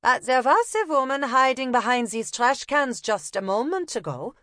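A woman's voice: sits high, lively intonation, normal speed, a bit bright, dry recording, studio quality, pitched above the performer's own voice. A woman voicing a young woman thief disguised as a rag picker, feigning a German accent and playing innocent. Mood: sly innocence. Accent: put-on German.

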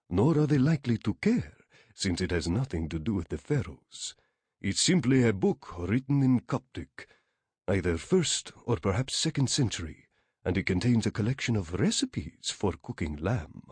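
A man's voice: on the low side, darkish tone, breathy edge, no room sound. A man voicing a courteous, cultured Egyptian book seeker in an audiobook, with a rich, lilting Arabic accent and formal, polite phrasing.

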